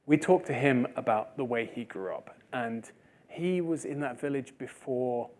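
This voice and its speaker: Man, speaker in a Q&A, lively storyteller, jokey, mid-pitched. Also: unhurried. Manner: lively, jokey, acts out quoted villagers. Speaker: speaker at a talk. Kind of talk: animated Q&A storytelling. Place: lecture hall with audience.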